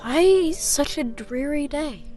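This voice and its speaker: A woman's voice: high-pitched, lively intonation, slow, music in the background. A woman playing an eager, polite young boy in a fantasy audio story, speaking in an earnest, childlike way. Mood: wistful, earnest.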